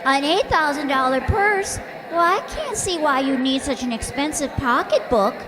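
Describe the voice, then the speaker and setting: A woman's voice: sits high, animated, normal speed, a crowd in the background. A woman playing a sweet, naive, scatterbrained young secretary in an old-time radio comedy, guileless and earnest. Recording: reverberant hall, faint noise.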